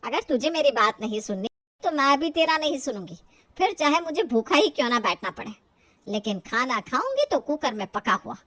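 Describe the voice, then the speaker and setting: A woman's voice: sits high, sing-song, brisk, fairly bright tone, dry recording, exaggerated voice. A woman voicing a stubborn, elderly Indian mother-in-law who scolds and grumbles indignantly in a family storybook tale. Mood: angry, scolding. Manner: indignant, grumbling, talks to herself.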